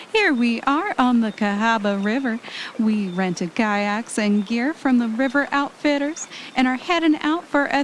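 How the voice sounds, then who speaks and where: Woman acting as high voiced podcaster lady in an audio drama, anxious but adventurous, faint noise behind. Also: close to an everyday voice.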